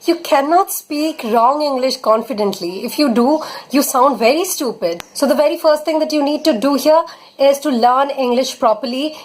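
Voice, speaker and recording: High-voiced Indian woman teaching English. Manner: earnest, advising, leans on fillers like "basically" and "honestly". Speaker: English tutor. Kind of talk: vlog-style spoken English lesson.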